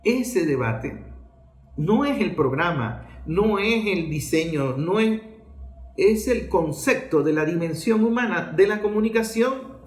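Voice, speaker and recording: Echoey, higher-pitched Venezuelan man, emphatic political commentator. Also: animated, slow pace. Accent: Venezuelan Spanish. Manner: didactic, emphatic, with rhetorical questions and repetition. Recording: echoey room sound.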